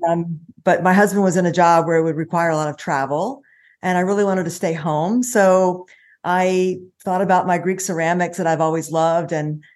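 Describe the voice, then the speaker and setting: A woman's voice: fairly low pitch, steady tone, moderate pace, a little mellow. A middle-aged American woman and small business owner, telling her story in a chatty interview with many fillers. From United States. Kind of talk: casual interview chat.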